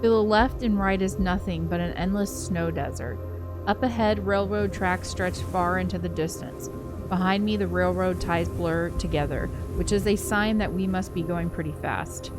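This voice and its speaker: Expressive woman narrating over music. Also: on the low side, normal speed. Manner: expressive narration with giggly asides. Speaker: co-host of a game playthrough. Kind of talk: reading a visual novel aloud with casual commentary. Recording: music playing behind the voice. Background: game soundtrack music.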